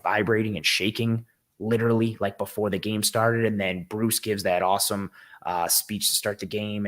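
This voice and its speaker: A man with a hoarse, raspy voice, worn out from a game the night before, hosts a casual live-stream football breakdown and rambles eagerly through viewer comments. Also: fairly low pitch, fairly bright tone. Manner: rambling, eager, with frequent ums and restarts. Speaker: host of a football show. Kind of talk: casual live-stream sports analysis, reading chat comments.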